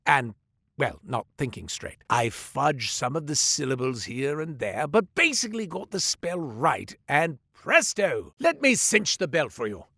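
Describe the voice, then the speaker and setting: A man's voice: on the high side, sing-song, unhurried, gravelly, bright, forceful tone, no room sound, clean studio sound. A man voicing a chatty, eccentric male merchant in a game, telling tall tales with comic asides in a theatrical manner. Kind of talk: scripted game voice lines.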